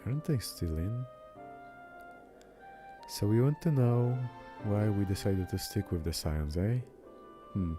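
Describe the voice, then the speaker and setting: A man's voice: fairly low pitch, slow, long pauses. A man reading game dialogue aloud as a streamer, sometimes stumbling over words and repeating them, with game music behind him.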